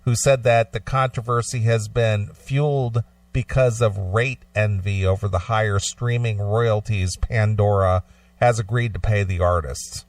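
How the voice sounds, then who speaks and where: A man's voice: on the low side, steady tone, a little slow, no echo, distant mic. A man co-hosting a talk show, speaking casually and stumbling now and then as he explains or reads aloud. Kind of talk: casual discussion and reading a news article aloud.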